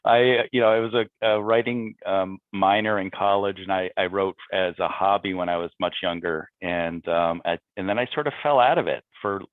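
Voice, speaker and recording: A man's voice: low-pitched, normal speed, few pauses, dry recording. A middle-aged or older man, a playwright guest on an online panel, chatting reflectively in a self-deprecating way over narrow call audio. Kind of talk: casual reflective chat.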